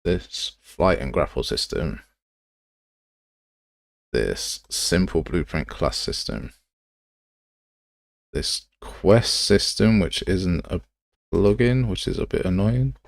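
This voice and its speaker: A man's voice: sits low, takes it slowly, creaky, long pauses, no echo, studio quality. A man hosting a casual livestream, grumbling and thinking aloud as he goes. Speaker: livestream host. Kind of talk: casual livestream commentary while browsing software assets.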